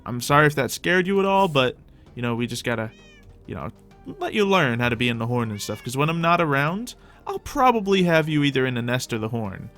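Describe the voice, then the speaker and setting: A man with a middle-pitched voice hosts a gaming video over background music. He talks casually and off the cuff, thinking aloud and chatting to characters in the game as he roleplays. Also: sing-song, brisk, a bit bright. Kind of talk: casual improvised Minecraft roleplay commentary.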